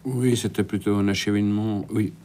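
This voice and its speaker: A man's voice: lowish voice, steady tone, a little fast, warm tone. A man from Ticino, a cinematographer telling his career story in a radio interview, candid and sometimes trailing off. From Ticino, Switzerland. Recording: radio studio with faint background noise.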